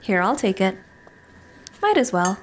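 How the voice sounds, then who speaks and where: Woman playing a slow-speaking, flustered, smitten young woman. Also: mid-range voice, big pitch swings, slight background noise. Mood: tired, friendly. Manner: hesitant, nervous, earnest confession. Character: flustered smitten young woman, apologetic, self-deprecating, working late. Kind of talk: audio drama acting, naturalistic dialogue.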